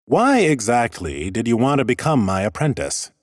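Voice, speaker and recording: Imperious scholarly lich narrator. Pompous, deadpan wit, swooping intonation, dry close studio sound. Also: male voice, medium pitch, normal speed, dry recording. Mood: imperious, probing. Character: haughty, dry-witted male lich sorcerer, bored scholarly master. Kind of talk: scripted first-person audiobook narration and dialogue.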